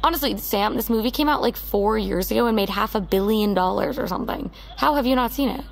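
A woman's voice: a little high, quick pace, vocal fry. An actress playing a headstrong, pleading young American woman, stubborn and wounded, in a naturalistic audio drama. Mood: teasing, exasperated. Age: young adult.

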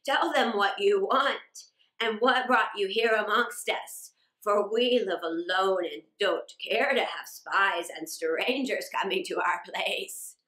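A woman voicing a stern, secretive old man in a children's storybook, measured and guarded. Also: medium pitch, bright, forceful tone, pitched below the performer's own voice. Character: thin gray old man, secretive wolf father, stern. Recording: roomy indoor echo.

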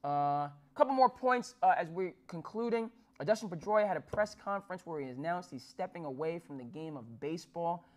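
A man's voice: on the high side, expressive, fairly quick, few pauses. Formal, filler-heavy male sports host. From New Jersey. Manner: formal wording with many fillers and restarts. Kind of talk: studio sports talk. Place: small studio with dark backdrop.

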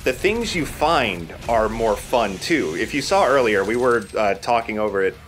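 A man's voice: lowish voice, animated, normal speed, a bit bright, slight background noise. A man hosting a let's play, talking casually as he comments on a video game.

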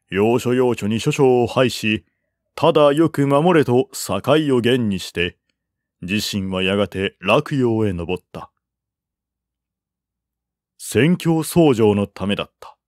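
A man reading a historical war novel as a narrator, in a measured, formal and dramatic manner. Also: fairly low pitch, no room sound, studio quality. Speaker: audiobook narrator.

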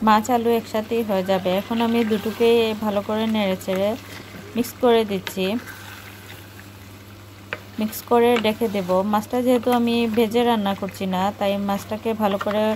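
A woman's voice: medium pitch, flat delivery, moderate pace, darkish tone, low-quality recording. A woman with a Bangladeshi accent gives casual voice-over narration as a home cooking vlogger. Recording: clear background noise, home recording.

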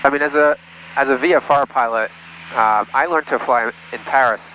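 A man's voice: mid-range voice, moderate pace, pushed and bright. A man in his thirties, a pilot giving casual step-by-step commentary over an aviation headset in a small plane. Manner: explanatory, step by step. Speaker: private pilot. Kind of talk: casual cockpit commentary and radio calls. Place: small light aircraft cockpit in flight. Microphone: aviation headset boom. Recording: muffled aircraft headset audio, engine noise.

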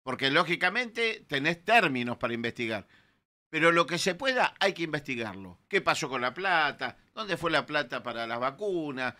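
A bright-toned man in his sixties speaks with a Rioplatense Argentine accent, slightly high for his age. He argues in an emphatic, indignant way, pressing his points on a radio panel. His voice comes close on a studio microphone. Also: sing-song, moderate pace. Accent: Rioplatense Spanish. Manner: emphatic, indignant, gestures while talking. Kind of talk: opinionated radio talk. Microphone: studio mic with headphones.

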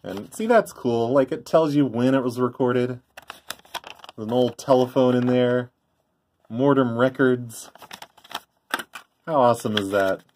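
A man's voice: medium pitch, unhurried, pauses often, darkish tone, a little hiss or hum. A middle-aged man giving an offhand, rambling show-and-tell commentary in a casual way. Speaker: vlogger, former prank call tape trader. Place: indoors by a tape deck.

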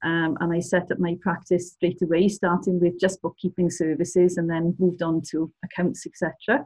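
A woman in her fifties from South Wales, speaking with a South Welsh accent and a husky voice pitched a little low. She runs an accounting practice and answers questions over a video call, pausing often with ums. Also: flat delivery, darkish tone, rough recording. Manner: hesitant, with frequent ums.

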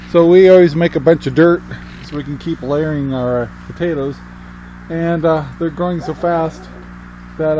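A man's voice: on the high side, background noise. A man in his sixties casually talking to a handheld camera in his yard and greenhouse, rambling with lots of fillers. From United States. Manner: easygoing, rambling with many "uh" fillers. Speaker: homesteading vlogger. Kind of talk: casual how-to vlog. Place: outdoors in a yard and inside a greenhouse. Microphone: camera held at arm's length.

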